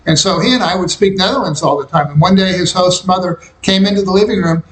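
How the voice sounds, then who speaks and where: Older man recalling his life, roomy sound. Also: high voice. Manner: reflective, unhurried storytelling. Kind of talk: personal memoir narration. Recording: roomy echo.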